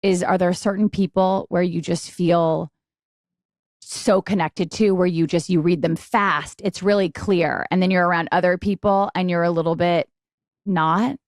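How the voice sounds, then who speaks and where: A woman's voice: fairly low pitch, fairly even intonation, unhurried, no echo. Casual woman podcast host, very dry sound. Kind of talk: casual chat.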